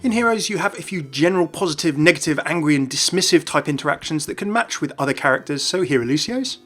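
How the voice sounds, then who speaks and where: Guy narrating a gaming vid, upbeat n lively, talks fast, music behind. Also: on the high side. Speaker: gaming video host. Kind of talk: enthusiastic scripted narration.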